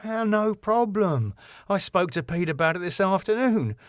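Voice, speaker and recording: Guy doing a smug wisecracking promoter, smooth-talking and placating, dry close sound. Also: sits high, expressive, moderate pace, dry recording, voice pushed up. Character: glib cheeky male music promoter.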